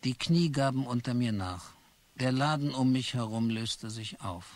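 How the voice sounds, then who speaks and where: A man's voice: medium pitch, lively intonation, normal speed, dry recording. A man narrating in first person as a weary office clerk in a German radio play. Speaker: narrator and lead.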